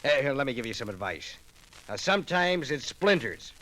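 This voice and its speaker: An actor playing a gruff veteran workman in a 1950s radio drama, with halting, folksy speech. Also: mid-range voice, big pitch swings, a bit bright, poor audio. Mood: gruff, instructive. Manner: halting, folksy, clipped asides. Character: gruff veteran workman advising a new boy. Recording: vintage radio play, faint background sound.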